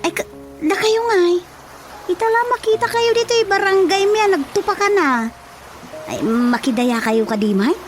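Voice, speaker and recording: An actress playing a chatty, gossipy village woman in an Ilocano radio drama comedy, with an Ilocano accent and an animated, familiar delivery. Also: very high-pitched, moderate pace, over loud music. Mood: chatty, teasing.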